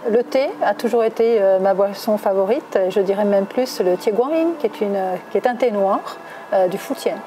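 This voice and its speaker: A woman's voice: medium pitch, normal speed, dark tone, slight background noise, rough recording. A middle-aged woman from southwest France answering interview questions conversationally, with many hesitations and self-corrections. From Toulouse, southwest France. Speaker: interviewee, executive recruiter.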